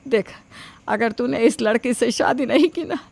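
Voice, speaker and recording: A woman playing a scheming, manipulative older mother in an animated moral story, theatrical and scolding. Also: a little high, moderate pace, gravelly, husky, no echo. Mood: pleading, insistent. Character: scheming, melodramatic ageing mother nagging her son to marry.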